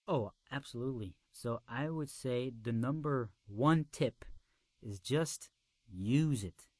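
A man's voice: medium pitch, takes it slowly, no echo, clean studio sound. A man from Belgium whose first language is Dutch, speaking English modestly as a podcast guest and often repeating words while he thinks. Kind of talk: casual interview chat.

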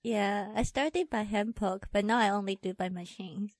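A woman with a Taiwanese accent chats as an interview guest. Her phrasing is informal and a little halting, at a middling pitch. The recording is dry and close. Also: dry recording. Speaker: interview guest, tattoo artist. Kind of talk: casual chat.

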